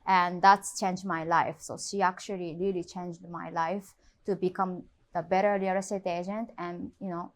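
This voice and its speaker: A woman in her thirties from Japan, speaking English with a Japanese accent as a real estate agent in an interview, pausing often and filling with "you know" and "uh.". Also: fairly low pitch, little pitch movement. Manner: halting non-native English with frequent fillers. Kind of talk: interview conversation.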